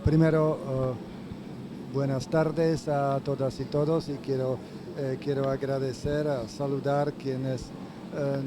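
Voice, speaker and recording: A man speaking on a conference panel, halting and full of hesitations. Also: medium pitch, noisy background. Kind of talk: panel remarks. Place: conference venue.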